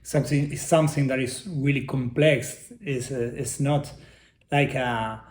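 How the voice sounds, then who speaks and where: A man's voice: medium pitch, fairly even intonation, roomy sound. A middle-aged man from Argentina with an Argentine Spanish accent, speaking English as a second language and searching for words in a casual interview. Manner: halting, searching for English words, many restarts. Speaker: interview guest, artist.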